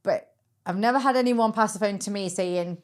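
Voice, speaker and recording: A young woman raised in the UK hosting a podcast interview, chatty and quick to laugh, thinking aloud with everyday comparisons. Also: medium pitch. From United Kingdom. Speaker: podcast host. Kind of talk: casual interview chat. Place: podcast studio.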